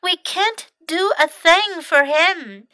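Woman reading as a calm, high-voiced storybook boy. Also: slow pace, no room sound, heavily acted. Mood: resigned, sorry.

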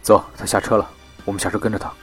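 A man's voice: fairly low pitch, little pitch movement, very fast, over music, lightly acted. A man playing a confident, teasing young police detective in an audio drama. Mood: focused. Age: young adult.

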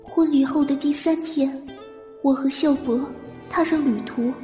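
Audio drama heroine, female actor: timid twenty-five-year-old, high and mellow, introspective narration, echoey space. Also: measured pace, faint music behind. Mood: wistful. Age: young adult. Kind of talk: Mandarin audio drama acting with first-person narration.

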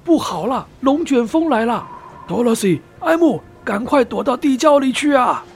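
Man acting an alarmed farmer uncle, raised high voice. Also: animated, normal speed, gravelly, faint music behind, exaggerated voice. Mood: panicked, urgent. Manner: urgent, shouting.

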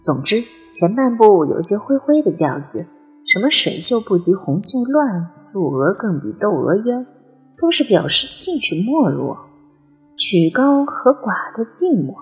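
A woman playing a lively, playful and chatty nineteen-year-old girl in an audio drama. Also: medium pitch, unhurried, faint music behind. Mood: explaining, thoughtful. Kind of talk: Chinese audio drama acting.

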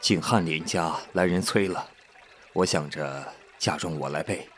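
A man plays a middle-aged noble father in a period audio drama. His voice is deep, his manner formal and courteous, and music is plainly heard behind him. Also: measured pace, fairly bright tone. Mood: courteous, businesslike. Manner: polite, formal. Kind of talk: amateur Chinese costume audio drama.

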